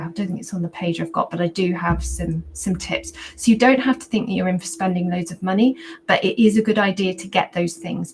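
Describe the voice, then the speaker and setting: A woman's voice: on the low side, gravelly, slight background noise, low-quality recording. A woman guest on a podcast interview, giving practical advice into a condenser USB mic at home. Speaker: podcast guest booking agency founder. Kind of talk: casual interview answers. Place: home at evening with ring light. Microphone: condenser USB microphone.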